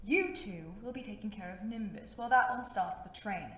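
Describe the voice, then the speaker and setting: A woman's voice: medium pitch, a little fast, fairly bright tone, natural voice. Suave crime boss female actor for a superhero audio drama; sardonic, coolly threatening, roomy reverberant sound. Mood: mocking menace. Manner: polite, condescending, sardonic.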